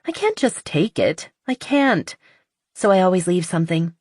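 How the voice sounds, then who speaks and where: Female reader as bubbly, enthusiastic young woman in a romance audiobook, lifted pitch, dry sound. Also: expressive, normal speed, a little mellow, no room sound. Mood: playful, earnest. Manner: chatty and enthusiastic. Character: cheerful, eager, artsy young woman in love. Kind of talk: audiobook character dialogue.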